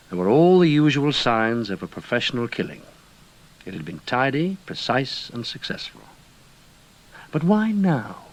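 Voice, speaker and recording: An actor playing a brisk, weary British intelligence officer in a radio drama, with terse, clipped questioning. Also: male voice, mid-range voice, close to an everyday voice. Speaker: investigator and first-person narrator.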